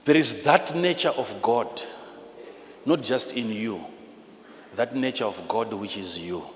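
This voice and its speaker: A man with a Zimbabwean accent preaching a sermon in an emphatic, repetitive, teacherly manner, swinging between hushed and loud delivery. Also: lowish voice, animated, unhurried. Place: large church hall with PA. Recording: live in a large reverberant hall, noisy.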